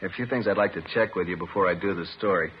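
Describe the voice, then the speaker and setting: An American man playing a brisk, businesslike newspaper reporter in a 1950s radio drama, with a quick, casual manner. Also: deep voice, natural voice. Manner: quick, casual, checking facts. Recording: muffled 1950s radio, faint noise.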